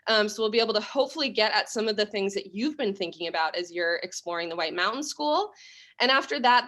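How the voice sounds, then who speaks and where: A woman's voice: on the high side, a bit bright. A woman hosting a virtual school open house over a video call, laying out each step of the event plainly and moderating a panel. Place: home or office on a video call.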